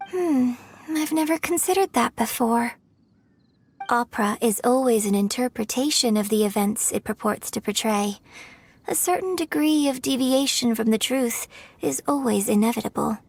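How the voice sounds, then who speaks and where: An actress voicing a young woman opera singer, telling a legend in measured, poetic narration in a video game. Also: on the high side, creaky, slightly rough.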